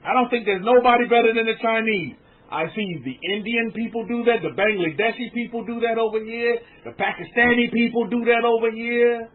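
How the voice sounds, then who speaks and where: A man's voice: extremely high pitch, brisk. A man preaching a sermon, exhorting his listeners, whom he calls family, and repeating his points again and again. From United States. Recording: tinny phone-like line.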